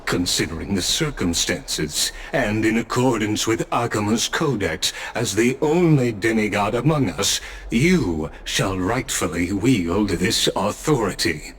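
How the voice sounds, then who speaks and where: An actor playing a composed, dignified statesman in a video game, speaking in a solemn, measured and courtly way. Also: on the low side, animated, a little hiss or hum. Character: composed, formal, dignified male elder statesman. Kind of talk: video game cutscene voice acting.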